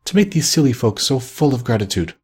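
A man's voice: medium pitch, sing-song, brisk, darkish tone, husky, pitched above the performer's own voice. A man voicing a stern, ancient male ghost who questions insistently, in an audiobook reading. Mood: probing. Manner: probing, insistent. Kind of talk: audiobook character voice.

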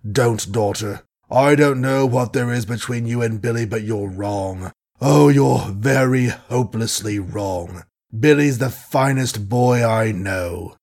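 A man voicing a shaken old gentleman who talks in a gruff, hoarse, faltering way. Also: medium pitch, slow. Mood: pleading. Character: shaken old gentleman, blustering but helpless.

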